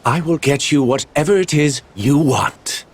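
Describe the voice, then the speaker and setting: An actor playing a suave, calculating gambler villain in a video game, with a genteel, smugly theatrical manner. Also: male voice, a little high, unhurried, husky. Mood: suave, playful. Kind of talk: video game cutscene acting.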